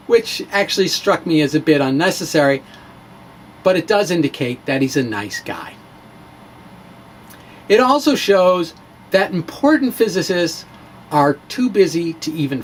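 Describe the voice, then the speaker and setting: Animated, higher-pitched man, wryly theatrical. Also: a little hiss or hum. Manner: wry, playful, theatrical emphasis. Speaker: physics blogger reading his own blog. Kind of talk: dramatic reading with casual asides.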